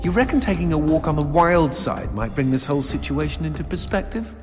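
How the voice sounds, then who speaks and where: A man's voice: a little high, lively intonation, brisk, close to an everyday voice. A man playing a chatty, witty demon sidekick in a video game, theatrical and mock-posh with a British accent. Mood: wry, chatty. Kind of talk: video game cutscene acting. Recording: reverberant, loud game music behind.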